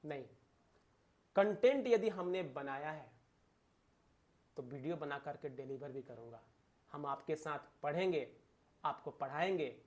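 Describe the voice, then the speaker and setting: A man's voice: a little high, a little mellow. A man with an Indian accent giving an informal exam-prep lecture to viewers, emphatic, using rhetorical questions and repeated phrases. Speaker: exam-prep teacher and channel host.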